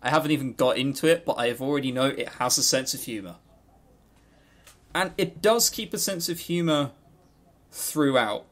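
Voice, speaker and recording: A man's voice: mid-range voice, lively intonation, moderate pace, long pauses, a bit bright. A man co-hosting a podcast in casual, unscripted chat, rambling and jokey, with some swearing. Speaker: podcast co-host. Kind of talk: casual unscripted visual novel review chat.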